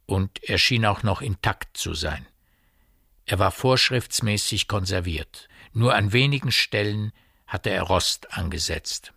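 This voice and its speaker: A man delivers literary narration for a radio play. His voice sits low and is recorded dry, and he sets out each phrase with measured precision. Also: animated, vocal fry, sharp tone, breathy edge, dry recording.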